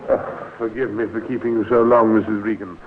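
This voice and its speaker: Actor as a low-voiced courteous gentleman. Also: level tone, brisk, natural voice. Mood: courteous, apologetic. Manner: polite, suave, formal. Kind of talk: classic radio drama acting. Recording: tinny, reverberant, noisy old radio recording.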